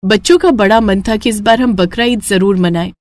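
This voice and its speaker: A woman's voice: mid-range voice, moderate pace, a little mellow, dry recording, clean studio sound, close to an everyday voice. A woman playing a poor, devout mother in a children's story, emphatic and dramatic as she scolds or pleads. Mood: worried. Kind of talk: children's animated moral story acting.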